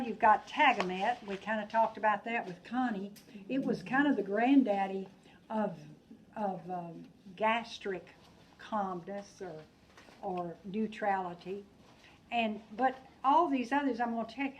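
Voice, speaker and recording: A woman instructor lecturing a class, thinking aloud with frequent pauses and restarts. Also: medium pitch, a little hiss or hum, rough recording. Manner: unhurried, thinking aloud, hesitant. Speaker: nursing instructor.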